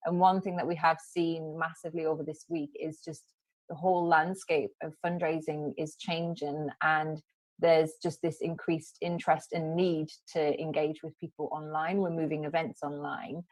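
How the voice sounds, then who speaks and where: A woman's voice: low voice, flat delivery, normal speed, no echo, poor audio. A woman from the northeast of England, co-hosting a webinar in a friendly, encouraging way. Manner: friendly, encouraging, laughs easily. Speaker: co-host of webinar. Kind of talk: casual webinar presenting.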